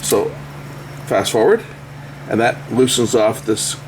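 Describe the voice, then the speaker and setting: A man's voice: mid-range voice, sing-song, takes it slowly, slight background noise, low-quality recording. A man giving casual, unscripted repair commentary, thinking aloud as he works with his hands. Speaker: electronics repair video host.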